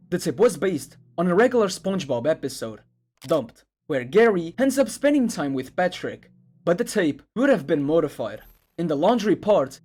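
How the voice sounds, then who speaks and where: A man's voice: a little high, darkish tone. A man reading scripted narration in the style of an internet video essay. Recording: music under the voice. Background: background music.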